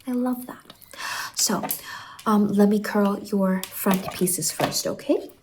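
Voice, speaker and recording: Slow, soft-spoken woman, gentle ASMR roleplay. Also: medium pitch, background noise. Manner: gentle, reassuring, addresses listener as a client. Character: friendly whimsical female stylist in a dream-world salon.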